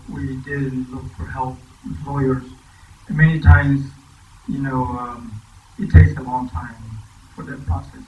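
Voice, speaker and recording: A man on a symposium panel recounting his long path to citizenship in a steady, plain way. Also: mid-range voice, highs cut off, a little hiss or hum. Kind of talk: personal testimony. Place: church hall. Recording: echoey church hall from far off.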